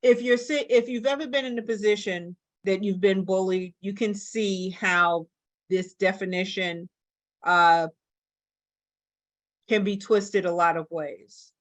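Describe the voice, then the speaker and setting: A woman speaks as a staff presenter at a workshop. Her pitch sits in the middle for a woman, and she restarts phrases while pressing her points emphatically. The sound is dry, with little echo. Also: fairly even intonation, unhurried.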